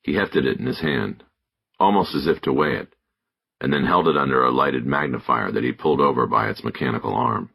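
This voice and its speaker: A man reading scripted narration of a crime thriller audiobook in a gravelly, husky voice. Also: rumbling low, a little fast, pushed and bright.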